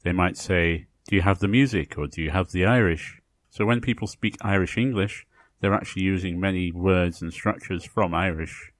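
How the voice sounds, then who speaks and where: Deep-voiced man explaining, dry close sound. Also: moderate pace, no room sound. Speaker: podcast host. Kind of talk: explanatory lecture narration on language.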